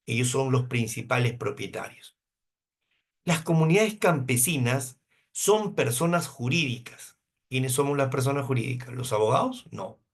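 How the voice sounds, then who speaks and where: A Peruvian man in his fifties teaching a law class, asking rhetorical questions and answering them himself. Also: medium pitch. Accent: Peruvian Spanish. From Peru, coast. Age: 50s. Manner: asks questions and answers them himself, uses hypothetical examples. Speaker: law lecturer.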